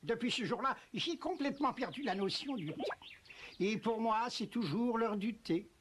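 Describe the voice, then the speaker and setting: A woman's voice: on the high side, animated, normal speed, heavy background noise, natural voice. A woman voicing an eccentric, boastful, self-pitying male tea-party host in a French cartoon dub, with theatrical delivery. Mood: matter-of-fact. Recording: music clearly behind the voice.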